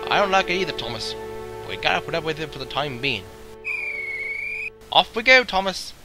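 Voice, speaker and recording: A man playing a calm, practical engine driver in a fan audio drama. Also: fairly high pitch, fairly quick. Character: steady kindly male engine driver. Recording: loud background music.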